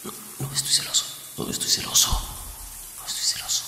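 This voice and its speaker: A man acting a grumpy, jealous, protective young best friend in an intimate Spanish-style ASMR roleplay, breathy and often whispering, defensive and sarcastic. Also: fairly quick, sharp tone. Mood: flustered muttering.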